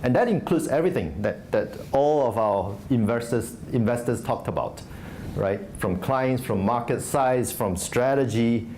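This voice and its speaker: A man's voice: medium pitch, normal speed, a little mellow, slight background noise. A man from Singapore, an investor giving a conversational conference talk full of fillers and restarts. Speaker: venture investor speaking at a startup conference. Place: conference stage.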